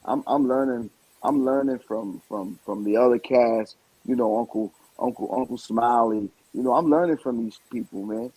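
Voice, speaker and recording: A man chatting casually in an interview, rambling in an animated way and repeating words for emphasis. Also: medium pitch, normal speed, husky, no echo, low-quality recording. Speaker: stand-up comedian and stage play actor.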